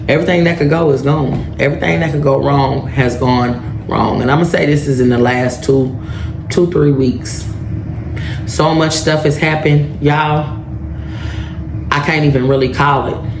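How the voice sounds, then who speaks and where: A woman in her thirties hosting a casual solo podcast, telling a story and turning to her listeners again and again to check they are with her. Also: low-pitched. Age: 30s. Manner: chatty storytelling that keeps turning to the listeners with "right?" and "y'all". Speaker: podcast host. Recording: echoey room with faint background noise.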